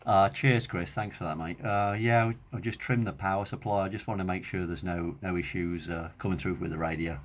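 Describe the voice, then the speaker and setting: A man presents a hobby demonstration. His delivery is casual and unhurried, with hesitations as he thinks out loud while he works. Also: low-pitched, fairly even intonation. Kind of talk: casual hands-on demonstration narration.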